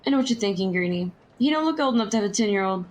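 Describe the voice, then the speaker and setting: An actress playing a plucky, streetwise young Victorian match girl in a historical audio drama, brisk, slangy and teasing. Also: mid-range voice, animated, natural voice. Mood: friendly, matter-of-fact.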